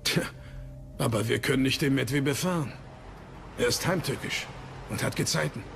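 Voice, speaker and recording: An actor playing a cautious, ailing Dutch admiral with a gravelly voice in a history docudrama. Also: male voice, medium pitch, normal speed, noisy background, natural voice. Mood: wary. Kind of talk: dramatised history documentary dialogue.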